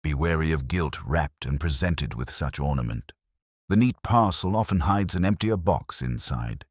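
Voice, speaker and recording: A man voicing a mild, shrewd police inspector in a detective story, speaking gently, deliberately and formally. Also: a bass voice, expressive, slightly rough, no echo, studio quality, heavily acted. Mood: cautioning, probing.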